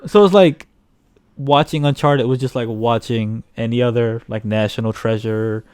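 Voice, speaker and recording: A man's voice: mid-range voice, normal speed, a little mellow, clear, resonant tone, dry recording, studio quality. A man co-hosting a film review podcast in casual, slangy, opinionated banter with some swearing. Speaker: podcast co-host reviewing films.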